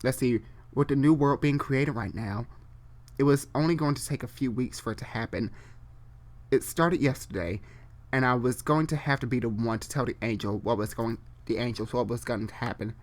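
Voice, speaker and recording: A person reading fan fiction aloud live, stumbling and repeating phrases, and breaking off for chatty, informal asides. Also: medium pitch. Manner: halting, repeats and corrects phrases while reading. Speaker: online storyteller.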